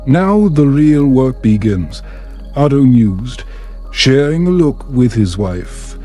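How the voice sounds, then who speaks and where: A man narrating a bedtime story in a calm, soothing, measured manner. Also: mid-range voice, soft background music.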